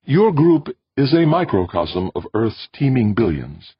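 A man voicing a calm, ancient alien being who speaks in a flat, intoning way. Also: medium pitch, measured pace, husky, voice pushed up. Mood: solemn. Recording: dull dry recording.

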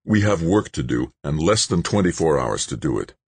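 A man's voice: extremely low pitch, sing-song, normal speed, darkish tone, breathy edge, no room sound, full character voice. A man voicing a weary, impatient man who speaks tense and curt.

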